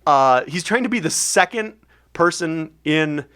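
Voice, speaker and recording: A man hosts a comedy podcast and tells rambling, animated stories. His voice sits a little high for a man. The tone is loose and casual. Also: clean tone. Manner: rambling, quick, animated storytelling. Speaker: podcast host. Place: basement studio.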